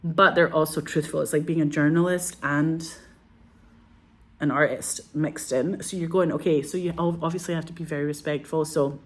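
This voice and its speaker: A woman's voice: low voice, steady tone, moderate pace. A woman in her forties telling stories in a loose, chatty way, full of fillers, laughs and swearing. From Scotland. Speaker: filmmaker guest. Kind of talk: casual storytelling.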